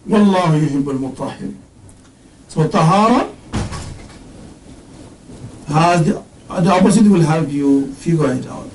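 A middle-aged man giving an interactive religious lesson, quizzing his class on terms, explaining them slowly and reciting Arabic verses. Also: on the high side, a little mellow, reverberant, far from the mic, slight background noise, low-quality recording. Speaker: religious teacher. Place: indoor classroom with whiteboard.

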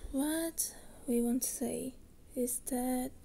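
A woman playing a hesitant, wry resurrected witch in an ASMR roleplay, with a soft, breathy voice. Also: high-pitched, slow, heavily acted. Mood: gentle, curious.

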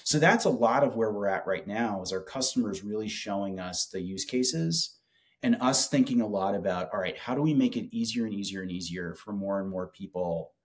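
A man in his forties making a casual, enthusiastic product pitch in conversation, speaking colloquially on a video call. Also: normal speed. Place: small office with whiteboard, indoors.

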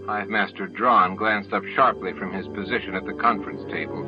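Lowish-voiced man narrating, dull lo-fi tape. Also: fairly even intonation, brisk. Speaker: audiobook narrator. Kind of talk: scripted dramatic narration. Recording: dull lo-fi books-on-tape sound with music. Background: music.

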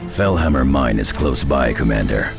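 A grim veteran space marine scout in a video game. His voice is extremely low and gravelly, terse and cautious, with music playing behind him. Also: lively intonation, moderate pace, full character voice.

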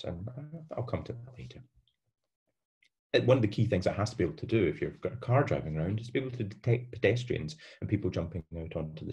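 Low-voiced Scottish lecturer, halting delivery. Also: male voice, darkish tone. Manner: hesitant, many fillers and restarts. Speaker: university lecturer. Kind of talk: online lecture over slides. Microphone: webcam on a video call.

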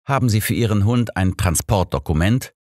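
A man's voice: lowish voice, animated, moderate pace, a little mellow, no room sound, clean studio sound, voice pushed up, lightly acted. A man voicing a polite, officious male train conductor in an audiobook. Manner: formal, courteous.

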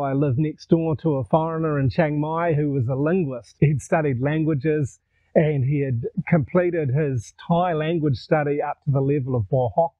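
A man's voice: on the high side, dry recording. Older Kiwi man, tinny dry sound. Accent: New Zealand. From New Zealand. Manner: relaxed, conversational, gestures while talking. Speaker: vlogger. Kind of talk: casual storytelling to camera. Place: outdoors in a garden. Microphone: lapel mic.

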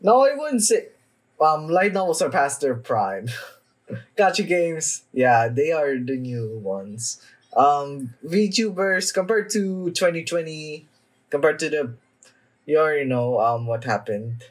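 A man's voice: on the high side, lively intonation. A young adult man who is a little under the weather, giving casual, hesitant reaction commentary as a video host. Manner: halting, hesitant delivery. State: somewhat sick with a cough. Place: small indoor room at home.

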